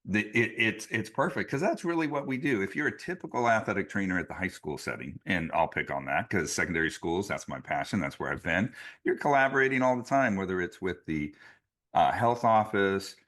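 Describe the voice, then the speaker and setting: Low-voiced man hosting a podcast. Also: lively intonation, a little fast, a bit bright. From California. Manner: emphatic, repeats himself for effect. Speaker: podcast host, athletic trainer. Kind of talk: casual podcast interview.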